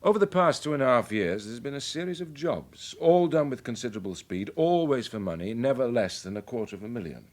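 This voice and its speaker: An actor playing a brisk, authoritative senior police officer in a radio drama, measured and matter-of-fact. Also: male voice, medium pitch, lively intonation, darkish tone, lightly acted. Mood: grave, briefing. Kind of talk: naturalistic radio drama acting.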